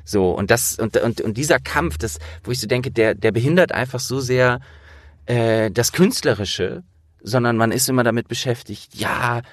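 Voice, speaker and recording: A man's voice: mid-range voice, moderate pace, no room sound. A man in casual, opinionated conversation, speaking earnestly and emphatically in colloquial language with some swearing. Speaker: interviewer and audio drama producer with acting training.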